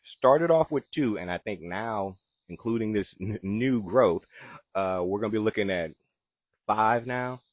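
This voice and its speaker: A man's voice: on the low side, expressive, measured pace, no echo. A man with a Southern accent, hosting a live gardening chat outdoors in his backyard and answering viewer questions in an easygoing, chatty way. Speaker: gardening show host.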